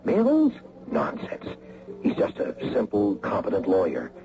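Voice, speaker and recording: A man's voice: fairly low pitch, huge pitch swings, a little slow, exaggerated voice. An actor playing an easygoing, conversational businessman who brushes off alarm in a radio drama. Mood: earnest, defensive. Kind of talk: 1980 radio drama acting. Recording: muffled old radio recording, faint noise.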